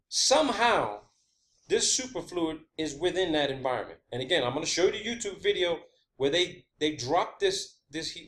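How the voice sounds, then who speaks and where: A man's voice: fairly high pitch, a bit bright. A man giving an informal, emphatic solo explanation, swearing freely and repeating phrases for stress. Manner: checks in often with "okay" and "all right". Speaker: video creator presenting own theories. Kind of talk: informal monologue rant and explanation.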